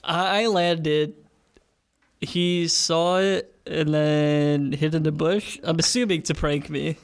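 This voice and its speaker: A man casually recapping a tabletop game as a player, speaking haltingly and jokily, often chuckling as he talks. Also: fairly high pitch, fairly even intonation, clean tone. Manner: halting, jokey, chuckles while talking. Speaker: player in a tabletop role-playing podcast.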